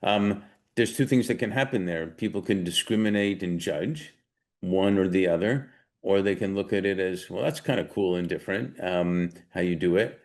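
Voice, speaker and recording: A man with a very low, deep voice hosts a casual interview. He asks long, winding questions, often restarting and adding thoughts as he goes. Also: normal speed. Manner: rambling, encouraging questions with restarts. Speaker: host.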